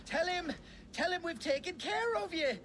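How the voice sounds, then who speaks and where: An actor in a video game playing a rough kidnapper who pleads and wheedles for mercy. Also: extremely high pitch, animated, moderate pace, a bit bright, slight background noise. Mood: panicked, wheedling. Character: grovelling rough kidnapper, pleading for his life. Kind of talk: video game cutscene acting.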